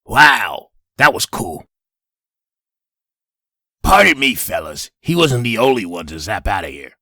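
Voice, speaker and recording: A man's voice: fairly low pitch, big pitch swings, a little slow, vocal fry, bright, forceful tone, no echo, clean studio sound, exaggerated voice. A man playing a laid-back, brash young superhero with breezy, slangy dude talk in a comic book dub. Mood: casually impressed.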